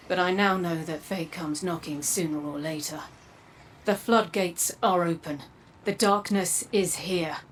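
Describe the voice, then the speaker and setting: An actress playing a mysterious android warrior in a video game, a calm, grave mentor with measured, portentous delivery. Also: female voice, fairly low pitch, slight background noise, lightly acted. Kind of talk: video game cutscene acting.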